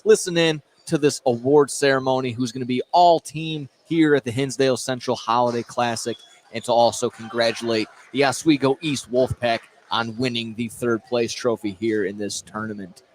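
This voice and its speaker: A man's voice: medium pitch, fairly even intonation, normal speed. A man calling a live basketball game on radio as the play-by-play announcer. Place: indoor gym at a basketball tournament. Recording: noisy gym ambience behind.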